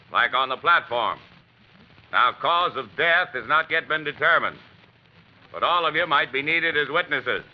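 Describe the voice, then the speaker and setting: An actor playing a gruff, suspicious police chief, curt and authoritative, in 1940s radio drama style. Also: male voice, fairly high pitch, close to an everyday voice. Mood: official. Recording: muffled, hissy old radio recording.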